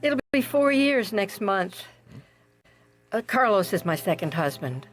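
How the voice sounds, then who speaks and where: A woman's voice: mid-range voice, sing-song, unhurried, faint music behind. A woman playing an anxious, insistent mother and wife in a radio drama, earnest and pleading. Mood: uneasy, explaining.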